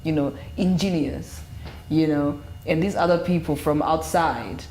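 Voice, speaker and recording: A woman's voice: low voice, a little slow, darkish tone, slight background noise. A woman on a discussion panel, posing a probing question that she builds up step by step. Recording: echoey room.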